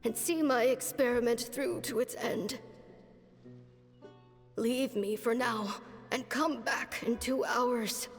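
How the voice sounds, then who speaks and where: A grave woman mentor from a video game, speaks slowly in a fairly high voice. She is solemn, resolute and theatrical, ringing in a long reverb. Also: fairly even intonation, gravelly. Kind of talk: video game dialogue acting. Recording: long reverb over music.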